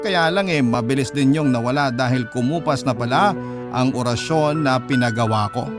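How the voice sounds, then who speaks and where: A man's voice: mid-range voice, normal speed. A man with a Filipino accent narrating a story dramatically in the first person, as a radio storyteller. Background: music bed.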